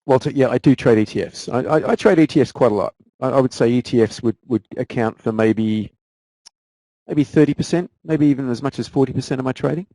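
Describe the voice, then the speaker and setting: A man's voice: mid-range voice, a little fast, dark tone, no room sound, low-quality recording. A man with an Australian accent, a guest trader answering viewer questions in a casual live Q&A, often repeating words as he restarts sentences.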